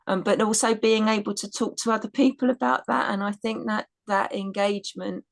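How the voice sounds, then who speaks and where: Woman, gravelly low voice, dry close sound, hesitant casual talk. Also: steady tone, a little fast, no room sound. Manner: hesitant, with restarts and laughs. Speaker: citizen science participant. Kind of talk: casual webinar chat.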